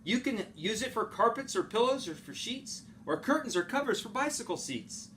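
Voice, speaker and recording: Man acting out a brash, high-pitched greedy inventor. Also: sing-song, normal speed, a bit bright, slight background noise, exaggerated voice. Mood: defensive, boastful. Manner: boastful, salesman-like, dismissive. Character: brash, greedy male inventor boasting and selling wares.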